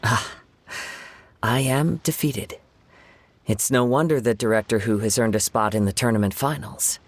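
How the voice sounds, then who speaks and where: A man playing a gentle, poetic young swordsman in a video game, speaking in a courteous, measured, formal way. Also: mid-range voice, slight background noise, natural voice. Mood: humble. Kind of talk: video game character acting. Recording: music behind.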